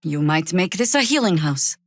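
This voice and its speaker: A woman voicing a weary, sardonic veteran druid warrior in a fantasy video game, speaking in a dry, measured way. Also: on the low side, sing-song, no echo. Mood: grave, resolute.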